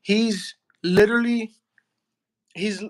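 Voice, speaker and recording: Young Miami heavyweight, Spanish first language, high voice, slangy casual interview talk full of restarts. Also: male voice, fairly even intonation, slow. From Miami, Florida. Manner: slangy, says bro a lot, frequent restarts. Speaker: heavyweight boxer.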